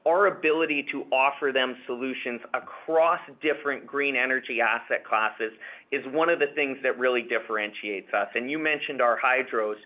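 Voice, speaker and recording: A man speaking as a company executive answering questions on an earnings call, measured and confident, often restarting and repeating words. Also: medium pitch. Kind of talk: corporate earnings call Q&A, unscripted. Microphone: conference call webcast line. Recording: dull, band-limited conference call audio.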